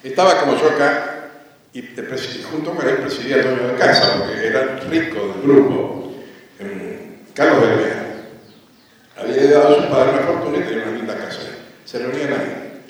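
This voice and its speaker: A man lectures on history in a storytelling way, asking questions and answering them. His voice sits at an ordinary pitch and comes from some distance off the microphone, washed in heavy echo and the long reverb of a big hall. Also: fairly quick. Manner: rhetorical questions, re-enacts dialogue. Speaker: conference speaker, history lecturer. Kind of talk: anecdotal public history lecture. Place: large echoey hall at a conference.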